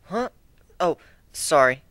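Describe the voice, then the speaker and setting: Man putting on a high, bookish young female pony. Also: sing-song, slow, a bit bright, dry recording, full character voice. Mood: nervous. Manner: questioning, hesitant. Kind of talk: amateur dramatic reading of fan fiction.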